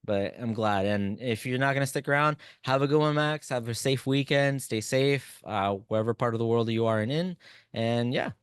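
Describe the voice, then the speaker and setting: A man whose first language is Spanish, wrapping up an online class and cheering students on by name. His voice is smooth and clear, recorded close and dry with no room sound. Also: medium pitch, normal speed, no room sound. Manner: relaxed, encouraging, talks to chat by name. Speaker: instructor. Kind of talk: live online class wrap-up.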